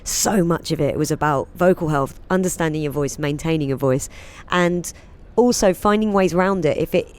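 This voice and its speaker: Woman with a low voice, chatty interview guest who laughs easily. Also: a little mellow, clean tone. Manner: chatty, laughs often, quick asides. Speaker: guest, comedian and singer. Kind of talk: casual interview chat.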